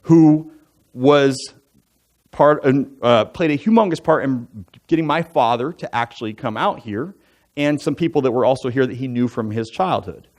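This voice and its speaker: A man in his forties, raised in the United States, stands before his church and tells his own life story. His voice sits in an ordinary middle pitch. He talks loosely and chattily, drifting into asides and self-deprecating jokes. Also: moderate pace, darkish tone. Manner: conversational and self-deprecating, with asides and joking fun facts. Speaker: church elder giving a testimony. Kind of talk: personal testimony talk from the pulpit. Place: church sanctuary.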